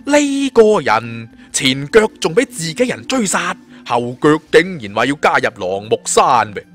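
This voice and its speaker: A man's voice: a little high, very animated, measured pace, fairly bright tone. A man with a Cantonese accent narrating a dramatic story as an audiobook storyteller. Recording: music under the voice.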